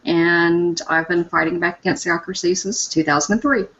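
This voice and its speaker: A woman from the American South introduces herself steadily on a panel call. Her voice is somewhat low. The sound is dull and lo-fi. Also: steady tone, normal speed, a bit bright. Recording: muffled lo-fi call audio.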